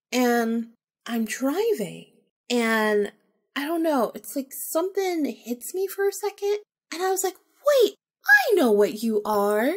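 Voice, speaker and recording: Woman telling a casual personal story. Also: medium pitch. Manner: animated retelling, acting out remembered dialogue, informal with swearing. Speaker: podcast host.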